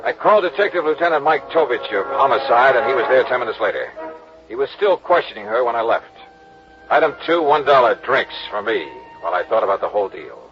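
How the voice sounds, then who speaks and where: Hard-boiled American actor as radio detective, dull sound. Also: male voice, mid-range voice, animated, brisk, music in the background, natural voice. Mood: wry narration. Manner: clipped, hard-boiled, pressing. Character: tough wisecracking male insurance investigator. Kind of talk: 1950s radio detective drama, acting and narration. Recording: dull old radio recording.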